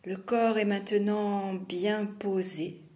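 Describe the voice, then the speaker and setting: Very slow, mellow woman guiding meditation. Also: mid-range voice, little pitch movement. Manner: slow, soothing, with long pauses between short phrases. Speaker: yoga nidra teacher. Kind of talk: guided meditation.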